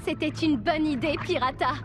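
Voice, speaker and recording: Gracious mermaid acted by a woman, high voice, solemn children's cartoon delivery, music underneath. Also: normal speed, lightly acted. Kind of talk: French children's cartoon acting.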